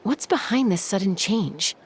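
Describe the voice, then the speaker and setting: A woman playing a self-assured young woman in a video game, composed, casual and matter-of-fact, with a slightly gravelly voice. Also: mid-range voice, lively intonation, unhurried, a little hiss or hum, lightly acted. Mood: concerned. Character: self-assured young woman raised by birds, easygoing protector.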